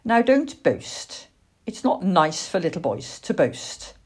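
A woman's voice: on the low side, very animated, slow, husky, exaggerated voice. A woman voicing a kindly, fussy old lady in a storybook, with a genteel, prim and chiding manner.

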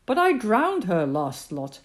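A woman voicing a gruff, blustering middle-aged male farmer in a storybook reading. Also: medium pitch, wildly swooping pitch, takes it slowly, voice pushed up.

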